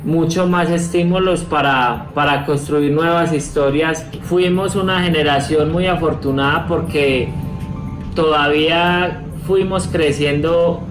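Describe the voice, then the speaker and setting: A man around forty giving a measured, earnest, reflective testimonial. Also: fairly high pitch, fairly even intonation. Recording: echoey room, faint music.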